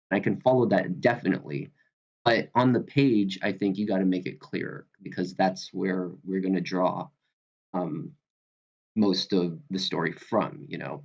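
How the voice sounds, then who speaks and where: A man with a low, gravelly voice talks through informal feedback. He thinks aloud in loose, halting phrases. The sound is slightly dull and lo-fi, as from an online call. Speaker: reader giving script feedback. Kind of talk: casual spoken critique. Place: online video meeting.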